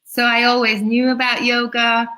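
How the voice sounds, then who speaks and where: Bright-voiced woman from Switzerland. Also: on the high side, flat delivery, normal speed. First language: Swiss German. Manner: chatty, laughs between thoughts. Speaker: guest, yoga teacher. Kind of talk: casual interview talk.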